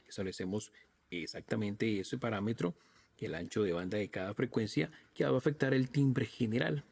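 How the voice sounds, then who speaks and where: Low, gravelly tutorial man. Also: a little mellow. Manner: methodical, step-by-step, informal address to viewer. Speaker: audio software reviewer and presenter. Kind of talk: explanatory software tutorial narration.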